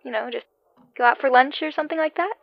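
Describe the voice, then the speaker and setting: A woman's voice: high voice, fast talker, dry recording. A woman acting as an earnest, exasperated younger sister on a phone call in an audio drama, pleading in manner. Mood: weary, insistent. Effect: phone call filter. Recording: dull, band-limited phone call sound.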